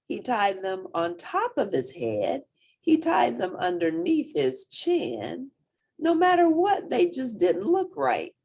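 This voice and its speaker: A woman reading a children's story aloud in an expressive, dramatic, emphatic way. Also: medium pitch, takes it slowly, slightly rough. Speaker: storybook reader.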